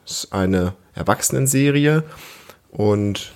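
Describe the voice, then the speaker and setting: A man's voice: lowish voice, animated, slow pace, darkish tone, clear, resonant tone. A man in his thirties co-hosting a family podcast as a parent, chatting casually with a child, explaining simply and asking prompting questions. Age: 30s.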